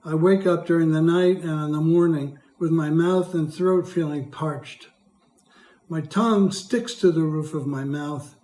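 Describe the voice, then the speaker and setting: Retired older man, hoarse and dry-voiced, reads his testimony carefully; roomy echo. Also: fairly high pitch, steady tone, unhurried, dark tone. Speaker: patient speaker. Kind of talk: prepared testimony read aloud.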